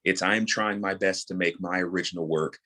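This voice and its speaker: A man in his thirties with a notably low voice talks as a guest on an interview show. He explains things earnestly, in a natural conversational flow. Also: fairly even intonation, brisk, pushed and bright. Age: 30s. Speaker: interview guest, audio drama creator and voice actor.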